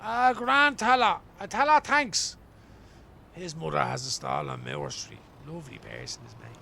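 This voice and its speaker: An actor in a radio play playing a weary, wry homeless man from Dublin, rambling colloquially in a gravelly voice. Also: fairly high pitch, big pitch swings, normal speed, bright, forceful tone, a little hiss or hum, exaggerated voice. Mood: friendly. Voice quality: gravelly, creaky. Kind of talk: radio play acting as an interviewee.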